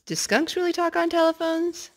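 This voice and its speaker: A woman reading a picture book aloud to a young child, gently asking him questions between pages. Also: very high-pitched, normal speed. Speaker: storyteller.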